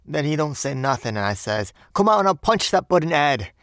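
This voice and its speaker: A man's voice: medium pitch, brisk, clean tone, dry recording, clean studio sound, voice pushed up, close to an everyday voice. A man voicing a young Cockney bellboy in an audiobook, deferential but indignant and uneasy, speaking ungrammatically. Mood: boastful, disgusted. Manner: ungrammatical, servile, peppered with "sir". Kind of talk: audiobook character voice.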